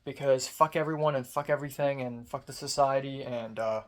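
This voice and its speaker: A young man from Hong Kong with a sore, strained throat, talking into the camera late at night. He rants sarcastically in a mid-pitched voice, swearing often and mocking himself. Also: steady tone, fairly quick. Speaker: vlogger. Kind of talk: late-night diary vlog.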